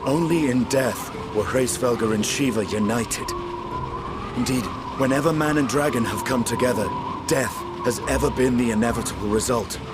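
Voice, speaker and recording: An actor playing a bitter, battle-hardened male warrior, speaking in a measured, grave way. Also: medium pitch, lightly acted. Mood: brooding. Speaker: dragoon warrior character. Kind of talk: video game cutscene acting. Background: loud orchestral music.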